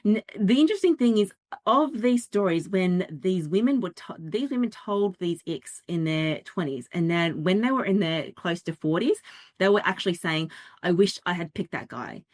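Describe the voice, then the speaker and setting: A woman presenting a dating workshop, explaining in a chatty way with quick asides and little acted-out quotes. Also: fairly low pitch, lively intonation. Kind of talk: interactive workshop talk.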